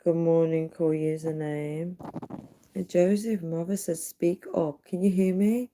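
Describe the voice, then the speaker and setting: A tired woman, her voice nearly gone, speaking softly and earnestly as she chats with viewers on a live stream from her home at night. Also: fairly low pitch, steady tone, unhurried, a little hiss or hum. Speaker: livestreamer. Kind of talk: casual live chat reading viewer comments. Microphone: built-in device mic, no dedicated microphone.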